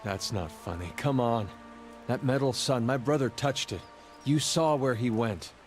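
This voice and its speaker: A man's voice: medium pitch, measured pace, faint music behind, lightly acted. An actor voicing a man in a fantasy game who presses bluntly for news of his lost brother. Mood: insistent. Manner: blunt, insistent questioning. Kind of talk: voiced game dialogue.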